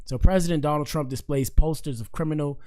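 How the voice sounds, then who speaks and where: A young man from Miami-Dade County with a hoarse, partly lost voice, hosting a casual podcast livestream. He rambles loosely in slang and speaks straight to his chat. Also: on the high side, quick pace, background noise. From Miami-Dade County, Florida. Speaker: podcast host.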